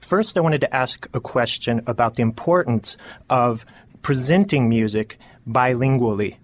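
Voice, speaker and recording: A man's voice: mid-range voice, faint music behind. Man hosting a radio interview show. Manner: measured, introduces and asks questions. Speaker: radio host.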